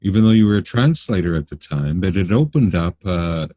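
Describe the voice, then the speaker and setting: Man, very deep voice, mellow, halting, podcast host, dry recording. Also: animated, no room sound. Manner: halting, many fillers and restarts. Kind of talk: interview questions.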